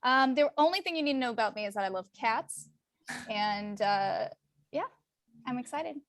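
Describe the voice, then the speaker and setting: A woman with a fairly high voice introduces herself on a video call. She talks casually into her computer microphone. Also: lively intonation, normal speed, a bit bright, no room sound. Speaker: actress.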